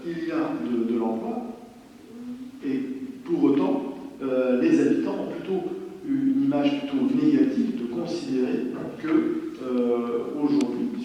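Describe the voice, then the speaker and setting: A man's voice: medium pitch, dark tone, lo-fi sound. A man presenting at a local meeting in an explanatory style, hesitant and often rephrasing himself as he goes. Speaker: presenter of survey results at a council meeting. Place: large reverberant meeting hall. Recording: very echoey hall, distant mic, noisy.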